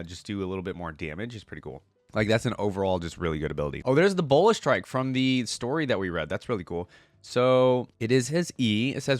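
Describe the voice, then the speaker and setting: A man's voice: on the low side, no echo. A man hosting a reaction video, commenting casually and informally as he thinks aloud. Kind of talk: reads game text aloud between comments. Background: music.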